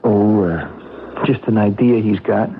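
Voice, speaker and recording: A man's voice: on the low side, slow, slight background noise. A man playing an easygoing young student who narrates his story in a 1970s radio drama, colloquial and laid-back. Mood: hesitant, agreeable. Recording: tinny old radio recording with music.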